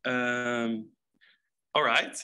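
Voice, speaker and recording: Bright-voiced young man presenting. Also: medium pitch, fairly even intonation, drawn-out words, clear, resonant tone. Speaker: data scientist, keynote speaker. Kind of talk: conference presentation, explaining slides. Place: indoor stage with screens.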